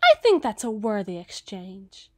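A woman playing a cruel, possessive evil queen in an ASMR roleplay, haughty and theatrical, with deliberate menace. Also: medium pitch, wildly swooping pitch, darkish tone, dry recording, studio quality. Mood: coolly flirtatious.

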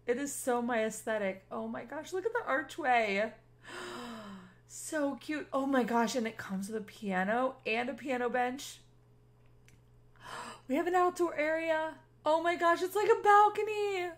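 A woman hosting a gaming video with casual, gushing, expressive commentary aimed at her viewers. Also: high-pitched, unhurried.

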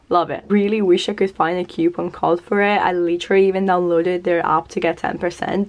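Hoarse, gravelly-voiced bubbly woman vlogger. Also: lowish voice. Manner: bubbly, gushing, chatty. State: hoarse from a cold. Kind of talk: casual vlog chat. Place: small flat, indoors.

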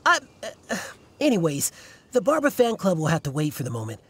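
A man's voice: fairly high pitch, huge pitch swings, fast, a bit bright, slight background noise. A man voicing an earnest, self-important young fan club leader in a video game, stammering and fussy. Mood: fussy, determined. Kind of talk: video game character acting.

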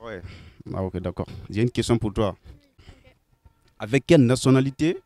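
A young man interviewing passers-by on a city street with a handheld mic. His voice is mid-pitched and friendly, and he often repeats what people answer. The street sound is open around him. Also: expressive, moderate pace, dark tone, no echo. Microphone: handheld microphone.

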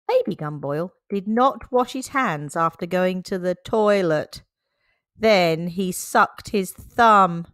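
A woman reading a children's picture book aloud, slow, clear and expressive. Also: lowish voice, dry recording, clean studio sound.

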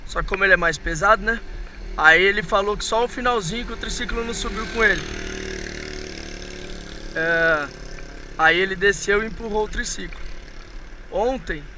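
Hoarse Brazilian man riding outdoors. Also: high-pitched. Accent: Brazilian Portuguese. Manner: chatty, rambling, addresses viewers as family. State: hoarse from a cold. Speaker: vlogger. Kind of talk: casual vlog talk while riding. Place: outdoors on city streets, riding an electric tricycle. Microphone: action camera on the handlebars. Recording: loud road and wind noise.

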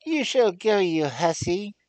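A man voicing a loud, scolding mother in an old-fashioned storybook reading. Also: fairly high pitch, a little slow, no room sound, voice pushed up, natural voice, rough recording. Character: scolding shrill mother, woman, middle-aged.

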